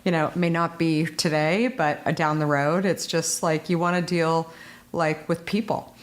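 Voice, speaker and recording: A woman's voice: deep voice, fairly even intonation, moderate pace. A woman hosting a casual interview podcast, talking in a rambling way full of "you know" and "like". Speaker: podcast host.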